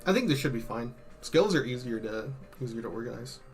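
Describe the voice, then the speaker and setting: A man doing casual, slangy live-stream commentary, thinking out loud, his voice a bit worn from hours of talking. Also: mid-range voice, expressive, brisk, faint music behind. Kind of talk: casual live-stream commentary, ranking game tier lists.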